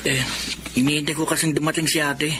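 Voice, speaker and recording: A man's voice: fairly high pitch, level tone, a little fast, noisy background, natural voice. A male actor playing a forlorn young boy in a Filipino radio drama. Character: forlorn young boy, abandoned by his father.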